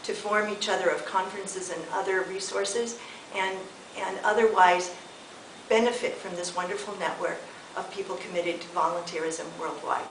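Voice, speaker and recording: A middle-aged American woman from California, giving a measured, earnest, slightly halting prepared video statement as an election candidate. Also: mid-range voice, fairly even intonation, a bit bright. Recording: echoey room with faint hiss.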